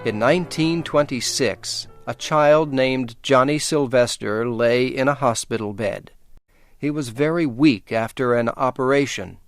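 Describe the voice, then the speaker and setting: Male narrator, American accent. Very measured, slow educational read, careful enunciation, dry close sound. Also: medium pitch, no echo, faint music behind.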